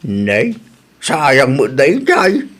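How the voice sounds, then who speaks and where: A man's voice: mid-range voice, huge pitch swings, very slow, fairly bright tone, exaggerated voice. A man playing a caring, concerned elderly pensioner father in an Ilocano radio drama.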